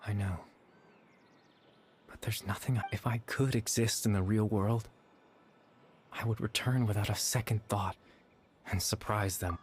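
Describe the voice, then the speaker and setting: An actor playing a gentle, sorrowful young man in a video game, speaking softly and hesitantly with wistful remorse. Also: lowish voice, fairly even intonation, measured pace, long pauses, slight background noise, lightly acted. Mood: wistful, regretful. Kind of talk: dramatic video game dialogue.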